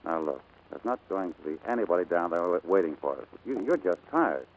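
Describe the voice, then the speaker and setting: A man acting a brash, lovestruck American navigator in 1940s radio drama style, brisk, cocky and insistent. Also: deep voice, lively intonation, low-quality recording. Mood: steady, reassuring.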